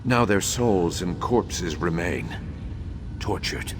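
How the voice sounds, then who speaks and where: An actor playing a grave, remorseful undead imperial battle mage in a video game, speaking in a formal, measured, solemn way. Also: male voice, deep voice, animated. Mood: regretful. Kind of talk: dramatic video game dialogue. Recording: long hollow reverb, faint background noise.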